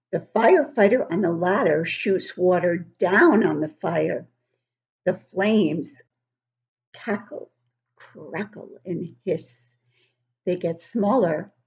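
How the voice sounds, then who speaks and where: A woman reads a children's picture book at an unhurried pace, her pitch moving in lively swings. She stops to explain things simply for young listeners. The audio is somewhat dull and lo-fi. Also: husky. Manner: animated, explanatory, child-directed. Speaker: read-aloud book club host for young children.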